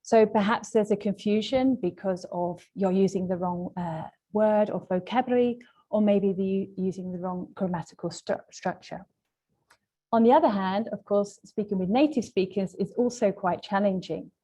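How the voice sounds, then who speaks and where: A woman leads an online language lesson as a trainer. Her voice is mellow and relaxed, at a middling pitch for a woman. She explains things politely and in a measured way, like a prepared presentation. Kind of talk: webinar lesson, semi-scripted presentation.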